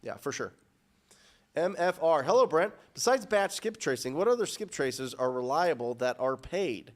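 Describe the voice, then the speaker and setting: A man in his thirties hosting a live show from a studio, answering viewer questions in a lively, upbeat way with many restarts, speaking into a boom mic. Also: moderate pace. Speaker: host. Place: studio with purple backdrop.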